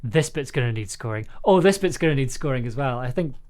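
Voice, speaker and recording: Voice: medium pitch, animated, darkish tone. Stammering, laughing livestream host in casual chat. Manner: hesitant, stammering over repeated words, quick to laugh. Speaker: audio drama writer and composer, host of livestream.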